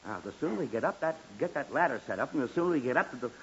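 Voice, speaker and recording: A man's voice: mid-range voice, lively intonation, racing, close to an everyday voice. An actor playing an exasperated, blustering middle-aged father in an old time radio comedy. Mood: placating. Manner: flustered, blustering, sputtering. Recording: dull, hissy old radio recording.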